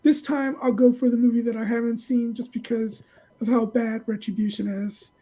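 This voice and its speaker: A woman's voice: fairly high pitch, level tone, moderate pace, mellow. A woman giving casual opinions as a panelist.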